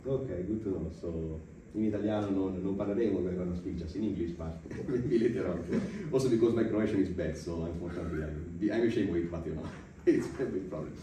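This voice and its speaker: Very deep-voiced halting male podcast host. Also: mellow. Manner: halting, formal phrasing with many hesitations. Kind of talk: interview questions. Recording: roomy echo, distant mic, faint noise.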